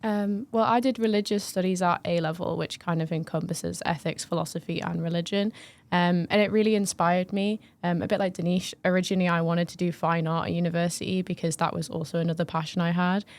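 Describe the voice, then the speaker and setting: A woman's voice: fairly low pitch, fairly even intonation, a little fast. A young woman from northern England, a first-year student talking earnestly with many hedging fillers. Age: young adult. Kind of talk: casual chat.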